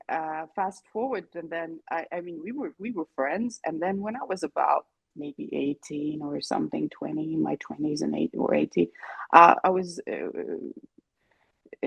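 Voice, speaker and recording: Woman from Sweden, low voice, gravelly, dry close sound, relaxed, chatty. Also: moderate pace, no echo, poor audio. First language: Greek and Finnish. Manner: relaxed, laughs often, many fillers and restarts. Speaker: guest singer-songwriter. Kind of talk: casual interview chat.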